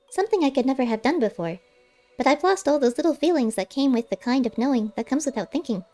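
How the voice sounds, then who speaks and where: A clever little male squirrel, played by a woman reading a storybook, speaks in a high, soft-edged voice. He is plain-spoken and wistful, always ready to bargain. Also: natural voice. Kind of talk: audiobook character voice.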